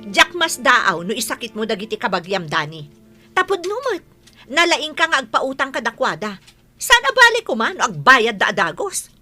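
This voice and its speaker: A woman with an Ilocano accent playing a stingy, sharp-tongued village wife in a radio comedy drama, scolding and exclaiming. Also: on the high side, huge pitch swings, normal speed, soft background music, full character voice. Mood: indignant.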